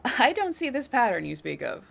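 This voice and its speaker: Woman playing a smug flirty lady crook in an audio roleplay, kinda high voice, swoopy and teasing. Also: very animated, gravelly, fairly bright tone, exaggerated voice. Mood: sly, dismissive. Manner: teasing, confident, coy, drawn-out delivery.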